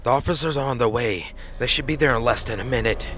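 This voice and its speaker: A man's voice: medium pitch, fast, fairly bright tone, voice pushed up, close to an everyday voice. A man voicing an anxious but stern female emergency dispatcher, urgent and reassuring, in a horror story. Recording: faint background noise.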